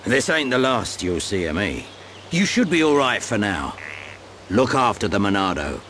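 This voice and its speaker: A man playing a gruff, blunt middle-aged mentor warrior in a video game cutscene. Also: lowish voice, big pitch swings, normal speed, a bit bright, slight background noise. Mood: gruff encouragement. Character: gruff older male mentor, secretly deceitful warrior.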